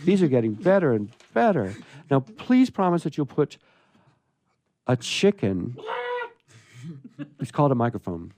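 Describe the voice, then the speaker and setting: A man hosting a radio theatre show, reading a humorous story and chatting playfully with theatrical pauses. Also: mid-range voice, big pitch swings, warm tone.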